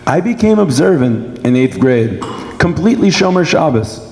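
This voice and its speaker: A man's voice: a little high, sing-song, moderate pace, darkish tone. Man giving a speech in an echoey hall. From Brooklyn, New York, United States. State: sore throat. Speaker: guest speaker giving a personal testimony. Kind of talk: prepared speech at a dinner event. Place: large indoor event hall. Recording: echoey hall with long reverb.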